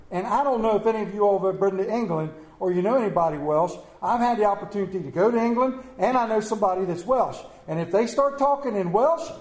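A man preaching a Mother's Day sermon, folksy and storytelling, with dramatic slow pauses on quoted lines. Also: high-pitched, darkish tone. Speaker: pastor. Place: church sanctuary. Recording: echoey church room.

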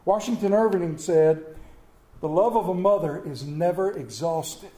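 Folksy high-voiced preacher in an echoey church. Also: male voice, dark tone. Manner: folksy, storytelling preacher with dramatic pauses. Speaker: pastor. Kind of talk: Mother's Day sermon. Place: church sanctuary.

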